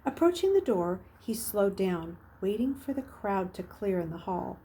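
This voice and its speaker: A woman's voice: mid-range voice, very animated, moderate pace. Woman reading a story aloud with dramatic expression. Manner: dramatic, with emphatic swells and hushed, near-whispered phrases. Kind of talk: expressive audiobook-style narration of a children's novel.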